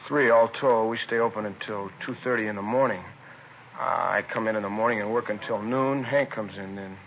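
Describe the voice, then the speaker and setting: An actor playing a chatty, put-out store manager, a robbery witness who talks in colloquial fragments, in naturalistic 1950s radio drama style. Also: male voice, fairly low pitch, brisk, natural voice. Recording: lo-fi noisy 1950s radio recording.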